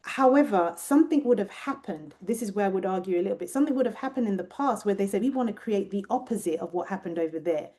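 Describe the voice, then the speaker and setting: A British Nigerian woman with a British accent, a researcher talking thoughtfully in an interview and often pausing to find her words. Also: medium pitch, lively intonation, dark tone. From Nigeria and Britain. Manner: thoughtful, searching for words, many restarts. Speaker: guest researcher. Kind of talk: reflective interview conversation.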